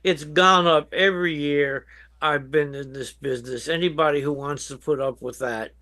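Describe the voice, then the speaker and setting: An older man with an American accent giving measured, emphatic advice as an expert guest in an interview. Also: fairly high pitch, steady tone, pushed and bright. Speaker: guest expert, veteran emergency dispatch professional. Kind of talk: interview answers, explaining and advising.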